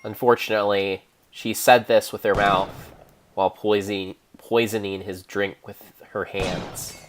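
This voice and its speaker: Give a man with a lowish voice delivering a dramatic narration at a very slow pace. He speaks theatrically and deliberately, breaking sentences into short phrases with pauses. There is faint background noise on the recording. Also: little pitch movement. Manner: theatrical, measured, pausing between phrases. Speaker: streamer, narrator. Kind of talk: improvised dramatic storytelling.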